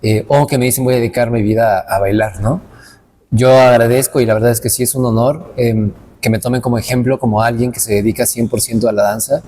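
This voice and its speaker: Earnest young Mexican dance teacher. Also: male voice, mid-range voice, mellow, slight background noise. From Veracruz, Mexico. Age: late 20s. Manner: reflective, earnest, with frequent restarts. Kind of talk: casual interview conversation.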